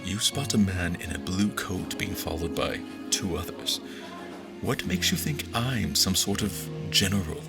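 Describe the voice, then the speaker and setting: Man playing a deep-voiced, formal general. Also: expressive, creaky, over loud music, heavily acted. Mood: measured, reflective. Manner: formal, measured, literary. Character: formal, pompous, troubled male general recounting his memories. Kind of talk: first-person audio drama narration.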